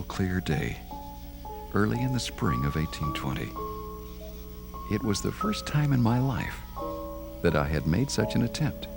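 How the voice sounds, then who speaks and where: An actor playing an earnest young religious visionary, solemnly and deliberately recounting his vision in formal old-fashioned language in an audio drama. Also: low voice, vocal fry, heavily acted. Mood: solemn, reflective. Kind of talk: dramatized first-person narration, audio drama.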